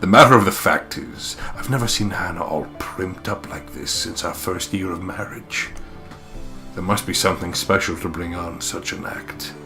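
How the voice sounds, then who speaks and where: A man acts out a weary, exasperated husband narrating his own thoughts in a visual novel. The voice is low and measured, brooding over music. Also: bright, forceful tone. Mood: torn, wry. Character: weary, exasperated married businessman, inner monologue. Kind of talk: dramatic visual novel reading.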